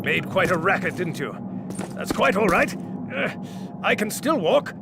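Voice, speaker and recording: War game role: unflappable British captain, genteel, animated pitch, heavy noise behind. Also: male voice, unhurried, creaky, full character voice. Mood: wry, composed. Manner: polite, understated, officer-like. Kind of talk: video game war drama dialogue. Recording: very noisy game battle audio.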